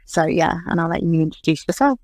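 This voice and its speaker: A woman with an English accent, in her thirties, talking casually as a podcast guest. Her voice sits a little low, and she strings her thoughts along with easy fillers. The sound is close and dry. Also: lively intonation, a little fast, darkish tone, dry recording. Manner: chatty, fills with "like" and "I guess". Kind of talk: casual interview chat.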